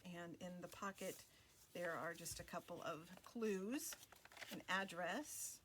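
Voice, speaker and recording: A woman hosting a craft video, talking casually through a flip-through with many fillers and pauses. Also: lowish voice, noisy background.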